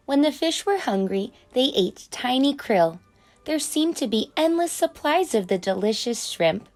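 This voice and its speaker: A woman's voice: high-pitched, lively intonation, a little slow, a little mellow. Woman reading a children's story over music. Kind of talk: expressive children's story read-aloud.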